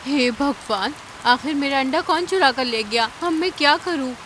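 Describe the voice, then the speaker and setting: A high-voiced cartoon bird, played by a woman, clever and full of concern. She speaks earnestly, with a faint noise behind her. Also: normal speed, a bit bright. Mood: anxious. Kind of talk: cartoon character acting.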